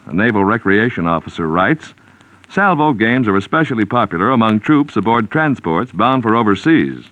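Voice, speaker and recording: A man's voice: lowish voice, fairly bright tone, dry recording. A man with an American accent reading a 1940s radio commercial as a polished, emphatic announcer. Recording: dull vintage 1940s radio recording.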